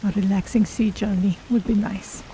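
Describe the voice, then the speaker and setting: A woman voicing a gentle, courteous female cat-folk traveler, musing as she streams a video game. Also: medium pitch, fairly even intonation, normal speed, lightly acted, rough recording. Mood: polite, musing. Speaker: streamer role-playing a game character. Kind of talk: in-character commentary on a video game. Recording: faint game ambience noise.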